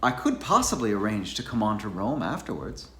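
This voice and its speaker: A man's voice: lowish voice, sing-song, brisk, sharp tone. A man playing a complacent, fussy bachelor academic in a dramatized novel reading, formal, musing and self-satisfied. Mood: genteel. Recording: roomy echo, faint background noise.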